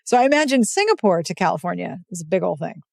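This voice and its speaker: A woman's voice: fairly low pitch, very animated, fast, creaky, no room sound, clean studio sound. An American woman hosting a podcast, reading sponsor spots and chatting with a guest in a bubbly, playful way. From United States. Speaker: podcast host. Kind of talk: casual podcast chat and read ads.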